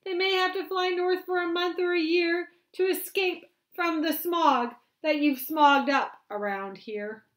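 A woman reading a picture book aloud, voicing a small grumpy old male forest creature who coughs and scolds slowly. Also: extremely high pitch, a bit bright, voice pushed up. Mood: mournful. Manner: scolding, sniffling, slow and drawn out.

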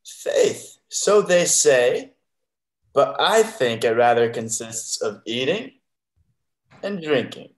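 Man acting earnest simple gentleman, unhurried, medium pitch, echoey room, video call, stage play. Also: very animated. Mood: merry, dim. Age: 20s. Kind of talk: Shakespearean play reading. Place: bedroom.

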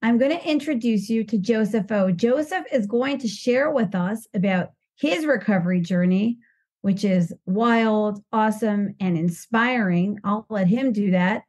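A woman hosts a podcast from a video call. Her pitch sits in the ordinary middle range, and she talks in an upbeat, chatty, unhurried way as she opens the show and welcomes a guest. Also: darkish tone. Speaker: podcast host. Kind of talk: warm welcoming introduction over video call.

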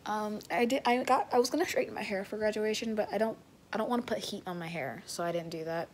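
A teenage girl chatting casually to viewers in a vlog, her voice a bit raspy, filmed on a phone held close in a small bedroom. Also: medium pitch, quick pace, a little hiss or hum. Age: late teens. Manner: chatty, rambling, addresses viewers as guys. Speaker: vlogger. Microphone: phone held close at arm's length.

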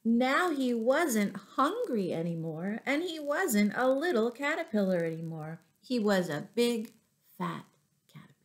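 A middle-aged woman reading a picture book aloud to young children, with deliberate, expressive phrasing and little asides to her listeners. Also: a little high. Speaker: children's storyteller, teacher. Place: small furnished room at home.

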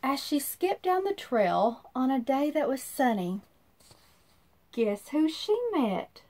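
A woman in her thirties reading a rhyming storybook aloud to children in an expressive, sing-song way, recorded in a room at about arm's length from the device. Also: high voice, slow. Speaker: children's read-aloud host. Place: small indoor room.

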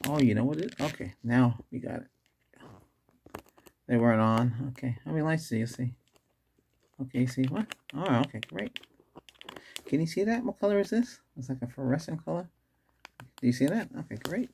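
Middle-aged man, soft ASMR exam roleplay, gravelly and breathy, unhurried, mid pitch with lively movement. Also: slight background noise. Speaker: ASMR performer playing an ER doctor examining a patient. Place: small indoor setup with cloth backdrop.

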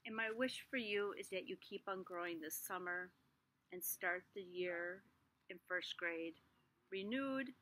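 A middle-aged woman teacher reading a picture book aloud to young children outdoors, expressive, with small asides to the class. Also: on the low side, a little slow. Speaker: kindergarten teacher. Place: outdoors in a backyard under trees. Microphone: device camera at arm's length.

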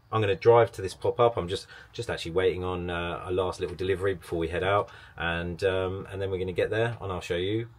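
Low-voiced british guy in his 30s, rambly casual vlog chat from inside his pizza van, lapel mic, bit of faint hum behind. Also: a little mellow. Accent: British English. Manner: chatty, rambling, lots of ums and restarts. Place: inside a metal food van, indoors. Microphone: lapel mic, camera on a shelf.

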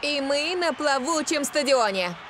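A woman's voice: high-pitched, animated, measured pace. A woman playing a matter-of-fact, opinionated sports commentator in a dubbed cartoon, with music behind her. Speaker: match co-commentator.

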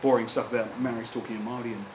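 A man in his forties with a New Zealand accent speaks earnestly at a public panel, often restarting his sentences. His voice sits at a middle pitch and carries the echo of a lecture room. A steady background hum runs underneath. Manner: earnest, halting with many restarts. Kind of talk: panel discussion. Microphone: table microphone, distant.

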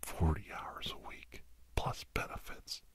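A middle-aged American man whispering a rambling, conspiratorial comic ASMR monologue in a halting way, breathy and close to the microphone. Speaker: podcast host. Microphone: close-up microphone, monitored on headphones.

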